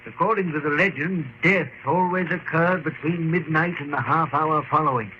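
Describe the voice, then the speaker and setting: An actor playing a nervous, stammering, blustering gentleman in an old radio drama. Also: fairly high pitch, moderate pace, close to an everyday voice. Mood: uneasy. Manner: stammering, fussy bluster with dated British slang. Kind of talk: 1950s radio drama acting. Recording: lo-fi old radio, faint noise.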